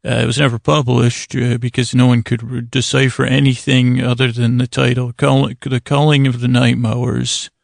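A man hosting a sleep podcast, giving a meandering, stop-and-start bedtime monologue in a drawn-out, soothing delivery. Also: mid-range voice, flat delivery, creaky, no echo, studio quality. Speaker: podcast host. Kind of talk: rambling bedtime monologue to lull listeners to sleep.

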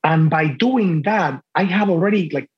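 A man whose first language is Spanish talks in a slightly higher-than-average register, weighing each phrase and often circling back to restart a thought. He sounds like a guest on a podcast conversation. The audio is dull and lo-fi, like a remote call. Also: expressive. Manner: deliberate, with frequent restarts. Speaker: translator guest.

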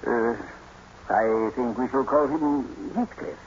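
A man's voice: mid-range voice, slow, close to an everyday voice. A man playing a kindly fatherly squire. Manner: gentle, soothing, a little hesitant. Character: kindly fatherly older estate master. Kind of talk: 1940s radio acting. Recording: muffled old radio recording with hiss.

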